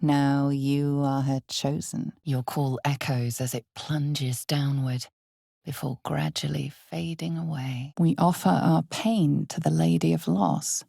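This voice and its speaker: A woman playing an ominous, all-knowing narrator of a dark fantasy video game, speaking in a measured, dramatic way with hushed intensity. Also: sits low, fairly even intonation, vocal fry, dry recording, clean studio sound, full character voice. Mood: hushed, foreboding. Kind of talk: scripted second-person fantasy game narration.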